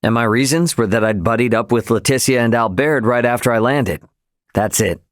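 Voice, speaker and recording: A man's voice: mid-range voice, normal speed, no echo, studio quality, lightly acted. An actor playing a brash, informal young starship captain, a cocky but loyal man, in a video game. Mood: earnest, reflective. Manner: informal, slangy, plain-spoken. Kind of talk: English game dub character dialogue.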